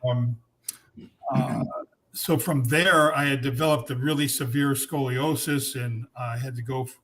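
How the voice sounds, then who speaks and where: A man's voice: mid-range voice, steady tone, measured pace, fairly bright tone, low-quality recording. A man in his seventies, a podcast guest who tells his life story in an easy, reflective way with many fillers. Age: 70s. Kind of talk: casual chat.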